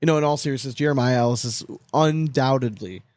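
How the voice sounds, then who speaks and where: A man's voice: mid-range voice, fast, a little mellow, no echo. A man co-hosting a sports podcast in casual chat, candid and loose, swearing freely and laughing between points. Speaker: podcast co-host.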